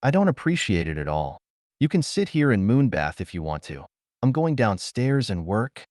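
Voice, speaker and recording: An indignant, easily shocked man from a pulp science fiction audiobook, acted out by a male narrator. He is incredulous and emphatic, low-voiced with big swings in pitch. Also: big pitch swings, normal speed, a little mellow, dry recording, clean studio sound.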